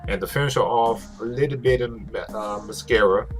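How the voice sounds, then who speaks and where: A man's voice: medium pitch, normal speed. A man narrating a craft tutorial in a casual, rambling way, swearing freely. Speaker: host, prop maker. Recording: music behind the voice.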